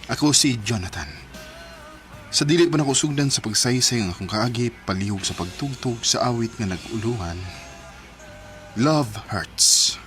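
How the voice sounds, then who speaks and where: A man opening a radio drama by narrating his own story in an earnest, quiet manner. His voice sits in a middle range. Faint music plays behind him. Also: mid-range voice, expressive, moderate pace. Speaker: letter sender, narrator.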